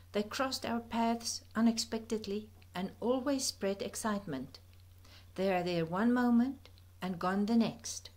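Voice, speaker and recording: A woman narrating a birding slideshow as a scripted voice-over, gentle and reflective, with soft breathy asides. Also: mid-range voice. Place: voice-over recorded apart from the pictured outdoor scenes.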